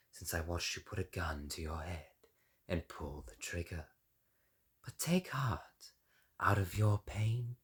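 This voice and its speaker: A man voicing a calm leader who offers false comfort in a soft, measured whisper. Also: sits low, breathy edge, heavily acted.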